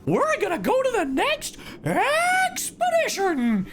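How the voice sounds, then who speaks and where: A man voicing a chipper cartoon clock mascot in a squeaky falsetto. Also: wildly swooping pitch, takes it slowly, over loud music, exaggerated voice.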